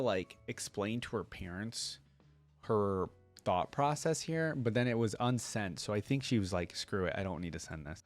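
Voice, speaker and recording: A man with a fairly low voice hosts a reaction video. His delivery is informal and conversational, suited to reading lore aloud and adding casual asides. The sound is dry, with very little room in it. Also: dry recording.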